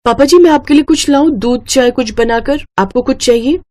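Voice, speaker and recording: A woman's voice: high voice, a little fast, dark tone, voice pushed up, close to an everyday voice. A woman voicing a young, dutiful and firm daughter-in-law in a cartoon story, earnest and emphatic. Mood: wary, polite. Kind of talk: Hindi moral-story cartoon acting.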